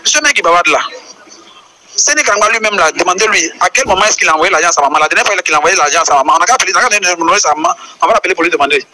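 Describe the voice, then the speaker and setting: A man's voice: high voice, rapid-fire, a crowd in the background, rough recording. A man with an Ivorian French accent whose first language is Baoulé, leaving a voice message that explains a controversy and firing off indignant rhetorical questions. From Côte d'Ivoire. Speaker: caller.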